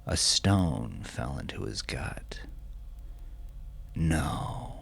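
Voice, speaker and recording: A man's voice: low voice, a little slow, gravelly, a bit bright, breathy edge. A man reading scripted crime fiction as an audiobook narrator.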